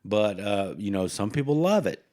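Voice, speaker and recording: A man with a fairly low voice co-hosting a true-crime podcast. He talks in a loose, rambling way, pausing often with filler words as he works through his thoughts. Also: very animated, darkish tone. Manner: rambling, hesitant, with many fillers. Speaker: podcast co-host.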